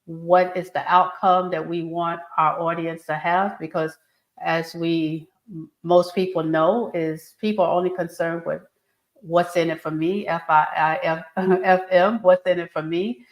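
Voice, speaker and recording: A woman with a slightly low voice lays out her advice as a speaking coach. She explains her points earnestly and step by step. Her voice carries a noticeable room echo. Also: fairly even intonation, measured pace. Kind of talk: interview answers.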